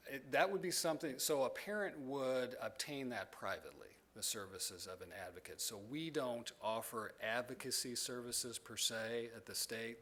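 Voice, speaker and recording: A man presenting at a conference and answering audience questions in a measured, explanatory way, with frequent ums and restarts. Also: mid-range voice, a bit bright. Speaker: presenter from a state education agency.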